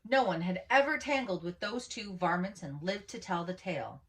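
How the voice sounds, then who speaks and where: Woman, slightly low pitch, storyteller, expressive picture-book read-aloud, animated emphasis. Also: normal speed.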